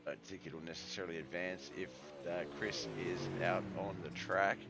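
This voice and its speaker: An Australian man doing relaxed play-by-play commentary on a live-stream sim race, reading out lap times, with a hoarse, congested voice. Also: sits low, moderate pace, loud music behind the voice. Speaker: sim racing league commentator.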